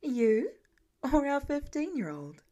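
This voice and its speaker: Woman reading as a wry, exasperated wife, fairly high. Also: big pitch swings, slow, dark tone, heavily acted. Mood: wry, firm. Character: exasperated, teasing pregnant wife, adult woman.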